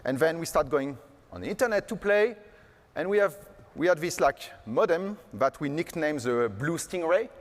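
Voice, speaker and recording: A man's voice: fairly high pitch, animated, normal speed. A man giving a casual, joking conference keynote on stage, full of fillers like "like" and "uh". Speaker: keynote speaker. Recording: long hall reverb.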